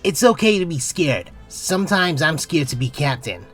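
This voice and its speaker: A woman plays a boyish young captain in a cartoon space adventure. The voice sits fairly low and swings widely. He sounds earnest, caring and excitable, with emphatic phrasing. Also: normal speed, soft background music. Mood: reassuring. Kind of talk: fan comic dub voice acting.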